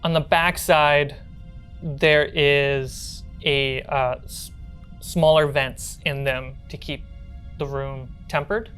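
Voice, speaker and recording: A woman with a low-pitched voice narrates a tabletop role-playing game. She works as the game master, setting scenes and calling for rolls, with faint music underneath. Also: fairly even intonation, takes it slowly, a bit bright.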